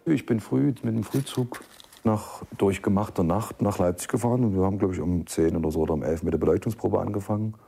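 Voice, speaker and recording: A man reminisces casually about what he saw. His voice is deep and mellow, with a heavy creak. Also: fast. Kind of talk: casual personal recollection.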